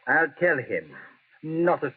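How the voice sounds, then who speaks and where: An actor playing a formal, deferential manservant in a vintage radio drama. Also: male voice, fairly high pitch, big pitch swings, slow, slightly rough, exaggerated voice. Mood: polite. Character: polite, deferential manservant answering his employer. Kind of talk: 1930s-40s radio drama acting. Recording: muffled, lo-fi old radio recording.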